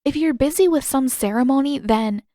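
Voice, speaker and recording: A woman's voice: high-pitched, normal speed, dark tone, dry recording, pitched above the performer's own voice, close to an everyday voice. Earnest teenage fantasy heroine, eager, frank and informal, played by a woman narrator, closely dry recording. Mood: eager, apologetic.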